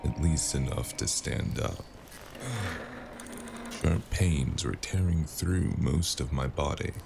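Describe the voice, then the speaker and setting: A man's voice: rumbling low, slow pace, gravelly, music in the background, exaggerated voice. A man playing a battered, sardonic, world-weary investigator in a cyberpunk noir audio drama. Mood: weary narrating.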